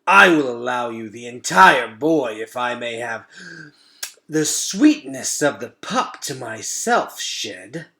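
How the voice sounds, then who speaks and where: A sly giant rat from a storybook, played by a man, gloating in a smooth, bright, forceful voice. The phrasing is courtly and theatrical, savored slowly. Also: mid-range voice, big pitch swings, heavily acted. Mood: greedy, savoring. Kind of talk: children's storybook read-aloud.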